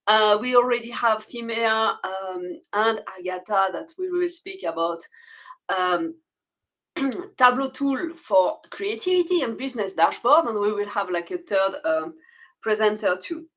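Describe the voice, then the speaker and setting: A woman's voice: mid-range voice, measured pace, a bit bright. A woman hosting an online meetup in a chatty way, with many fillers. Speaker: event host. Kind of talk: casual online event hosting.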